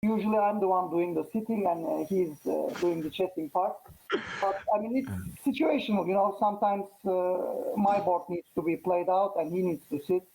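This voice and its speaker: A man from Turkey, a Turkish native speaker, chatting casually as a guest after a chess match, halting and full of fillers. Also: high voice, gravelly, mellow, slight background noise, low-quality recording. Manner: halting, many fillers and restarts. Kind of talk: casual post-match interview.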